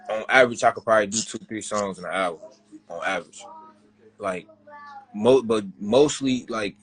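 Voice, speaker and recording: A young man with a deep voice, a rapper being interviewed. He rambles in loose slang and circles back on his own words. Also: rough recording. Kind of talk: casual interview chat.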